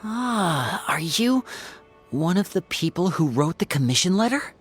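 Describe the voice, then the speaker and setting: Low-pitched, composed female hunter. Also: big pitch swings, faint music behind. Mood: composed, polite. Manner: formal, measured. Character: polite, composed, serious female monster hunter. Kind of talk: video game character acting.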